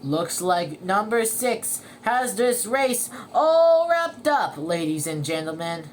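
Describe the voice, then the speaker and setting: A woman playing an excited male sports announcer calling a cartoon race in a storybook, with a raised, projected voice. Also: mid-range voice, slow pace, slight background noise. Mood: dramatic. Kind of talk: play-by-play race commentary.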